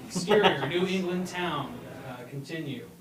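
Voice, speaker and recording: A man's voice: a little high, normal speed, crowd noise. A man narrating stage directions at an informal comedic table read in a loose, amused, stumbling way. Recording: echoey room, distant mic, loud music behind.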